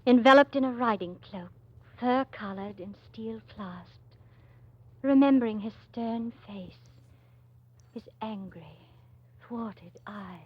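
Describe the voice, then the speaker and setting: A woman playing a reserved, earnest young governess in a classic radio drama, speaking in a grave, quiet, composed way. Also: on the high side, slow, long pauses, slightly rough, no echo. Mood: hushed, reflective. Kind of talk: dramatic first-person radio narration. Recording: dull old radio play recording. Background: music.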